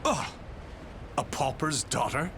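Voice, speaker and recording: A man's voice: mid-range voice, lively intonation, takes it slowly, background noise. An actor playing a proud, embittered fugitive nobleman in a video game, with formal, theatrical, brooding diction. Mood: despairing. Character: proud, embittered fugitive French nobleman swordsman, weary and betrayed. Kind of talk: dramatic video game acting. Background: music.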